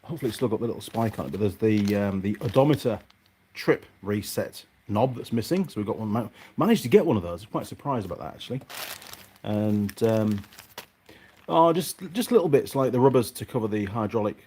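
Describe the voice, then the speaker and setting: Low-voiced man rambling in a noisy workshop. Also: lively intonation, brisk, warm tone. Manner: muttering to himself, hesitant, meandering. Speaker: vlog host and motorcycle mechanic. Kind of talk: casual rambling workshop vlog. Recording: noisy, clicks and rustling of parts.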